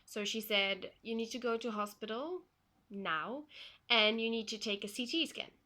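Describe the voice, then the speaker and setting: A young woman from Belgium, a non-native English speaker, calmly retelling a personal story for a vlog with frequent pauses and ums. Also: a little high. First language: not English. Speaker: vlogger.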